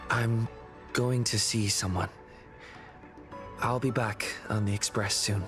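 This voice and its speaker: A man voicing a calm, earnest young protagonist in a video game, speaking in a measured, gentle way. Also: on the low side, over music. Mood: gentle resolve.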